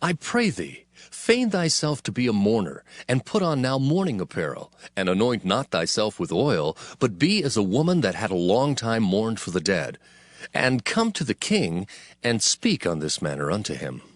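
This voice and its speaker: A man playing a scheming biblical military man, deliberate and coaxing in archaic English. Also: on the low side, sing-song, dry recording, studio quality. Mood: conspiratorial instruction. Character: Joab, scheming military man instructing quietly. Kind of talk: dramatized scripture reading with archaic English.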